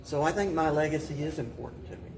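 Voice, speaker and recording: An older man giving reflective interview answers as a mayor, haltingly, often repeating and restarting his phrases. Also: fairly high pitch, crowd noise. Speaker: mayor, talk show guest. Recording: roomy, distant mic, voices in background.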